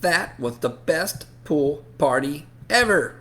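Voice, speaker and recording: A man voicing excitable young schoolchildren from a picture book, with eager, drawn-out exclamations. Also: a little high. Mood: excited.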